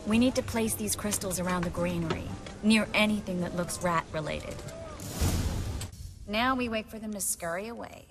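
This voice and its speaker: An actress playing a young sorceress in a video game, wry, guarded and teasing. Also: female voice, medium pitch, moderate pace, big-room sound, lightly acted. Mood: businesslike.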